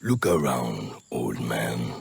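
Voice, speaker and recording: Game villain thug, rock-bottom pitch, crawling pace, menacing gravelly delivery. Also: male voice, lively intonation, dry recording, full character voice. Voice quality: gravelly, very deep. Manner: slow, drawn-out, menacing. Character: menacing thug threatening to seize the river.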